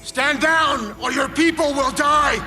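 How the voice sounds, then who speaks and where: Combative male armed escort for a game cutscene; strained high pitch, intense orders, faint music behind. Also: slow pace, sharp tone, exaggerated voice. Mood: threatening, shouting. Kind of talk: video game cutscene acting.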